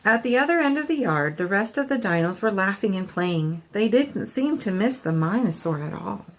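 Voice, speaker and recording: A woman's voice: medium pitch, expressive, moderate pace, poor audio. A woman hosting a children's storytime, reading a picture book aloud expressively and chatting with a child. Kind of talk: animated read-aloud for children.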